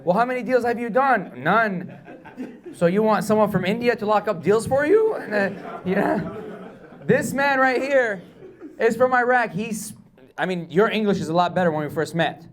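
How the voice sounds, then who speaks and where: A man speaking as a guest at a meetup, addressing a live audience in a blunt, animated and joking way with some mild swearing. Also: sits high, moderate pace. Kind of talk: casual talk to a live audience.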